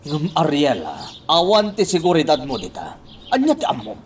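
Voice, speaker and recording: An actor playing a worried, agitated husband in a radio drama, incredulous and emphatic. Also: male voice, fairly high pitch, huge pitch swings, normal speed, far from the mic, a little hiss or hum. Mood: alarmed. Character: agitated, worried husband questioning his wife.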